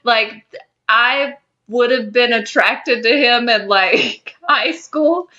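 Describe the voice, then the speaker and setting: Girl podcaster w a high bright voice, takes her time, chatty and expressive about cartoons. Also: slow pace, highs cut off. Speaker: podcast co-host. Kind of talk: casual chat about a cartoon.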